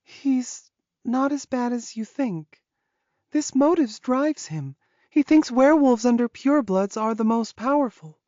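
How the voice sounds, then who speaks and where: A resigned, loyal witch, played by a woman explains things matter-of-factly in an audiobook. Her voice is fairly high and mellow, recorded dry. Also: animated, unhurried, no echo, muffled recording. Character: resigned, loyal young witch guarding a captive.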